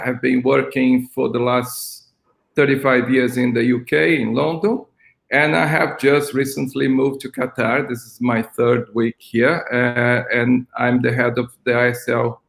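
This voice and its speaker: An older man from Brazil, a school principal, speaking haltingly with many ums on a video call from his flat. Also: medium pitch, gravelly, reverberant. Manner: hesitant, many ums and restarts. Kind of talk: online interview. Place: flat at home.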